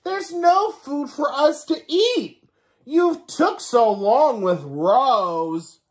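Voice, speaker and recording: A man voicing a bossy, impatient tiny ant from a storybook, with shrill, urgent shouted commands. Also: animated, extremely slow, full character voice.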